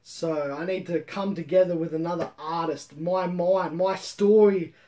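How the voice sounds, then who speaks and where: A man's voice: sits high, fairly even intonation, measured pace, warm tone. A man in his thirties hosting a casual comedic review, rambling and swearing, repeating himself with mock-boastful swagger. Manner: rambling, sweary, repeats phrases for comic effect. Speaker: host of a manga review show. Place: room at home with bookshelves.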